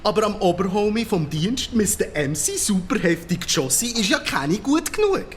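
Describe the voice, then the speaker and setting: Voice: medium pitch, big pitch swings, moderate pace, a little hiss or hum, lightly acted. A performer voicing a cocky, boastful city cockroach in a children's radio play, talking swaggering hip-hop slang with a Swiss German accent. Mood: boastful, exasperated. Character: cocky streetwise city cockroach, slangy braggart, easily riled.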